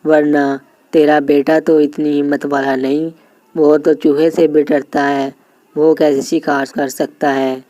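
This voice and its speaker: A scornful, boastful lion from a cartoon fable , acted out by a woman narrator. The voice drops low and stays mellow, with a taunting manner and a close, dry sound. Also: little pitch movement, unhurried, dry recording. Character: mocking, boastful adult male lion.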